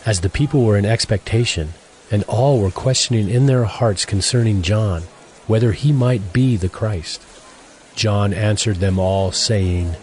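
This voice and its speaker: A man's voice: fairly low pitch, measured pace, a little mellow, a little hiss or hum. A man reading scripted narration for a dramatized audio Bible. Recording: music clearly behind the voice.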